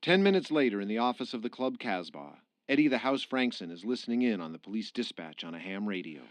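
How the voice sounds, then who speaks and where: A man's voice: fairly low pitch, very animated, fast talker, dry recording. A man narrating a radio drama from a script in story-telling style. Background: prominent music.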